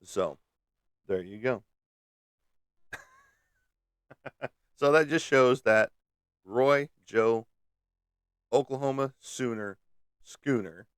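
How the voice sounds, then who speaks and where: A man's voice: fairly low pitch, slow, long pauses, clean tone, no room sound, studio quality. A man hosting a casual chat who teases a viewer about sports and chuckles often as he talks. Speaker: host. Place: at a desk indoors.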